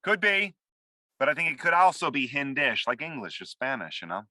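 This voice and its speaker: A man's voice: mid-range voice, very animated, a little fast, sharp tone, no room sound. A man acting a frantic, self-absorbed and muddled boss who rambles and repeats himself in a comedy table read. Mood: frantic, bossy. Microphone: video call. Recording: dry close video-call audio.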